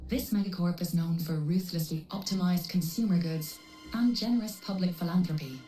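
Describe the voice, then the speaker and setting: A woman playing a composed, coolly corporate AI handler in a video game, speaking politely in measured, clinical, formal phrases. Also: fairly low pitch, dark tone, close to an everyday voice. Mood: calm, advisory. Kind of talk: scripted video game cutscene dialogue. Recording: roomy processed echo, distant, music underneath.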